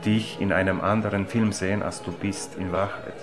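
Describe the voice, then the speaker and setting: Deep-voiced, halting man reflecting. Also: level tone. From Poland. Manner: halting, emphatic, searching for words. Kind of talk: reflective personal testimony. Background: music.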